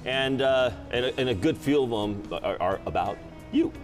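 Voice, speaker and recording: A man's voice: mid-range voice, moderate pace, soft background music. A middle-aged man hosting a late-night talk show in an effusive way, often repeating and restarting his phrases. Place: TV studio.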